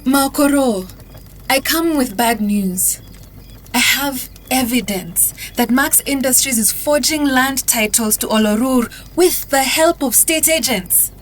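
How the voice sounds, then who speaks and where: A woman's voice: sits high, slow, a little hiss or hum. A young woman playing a determined activist who speaks firmly and earnestly, also narrating her own journal. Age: young adult. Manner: firm, earnest.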